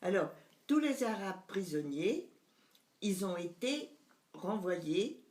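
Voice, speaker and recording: A woman's voice: mid-range voice, very animated, slow, a little mellow, echoey room. A woman in her nineties, recounting family memories to her son in casual conversation, hesitant and searching for words. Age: 90s. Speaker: mother recalling family memories.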